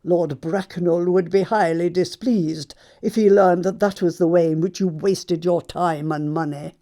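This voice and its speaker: A woman's voice: lowish voice, steady tone, a little slow, warm tone, natural voice. A woman playing a snobbish, haughty older lady in a stage comedy audiobook, with an upper-class English accent and a pompous, commanding manner. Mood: indignant. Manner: pompous, commanding, emphatic enunciation.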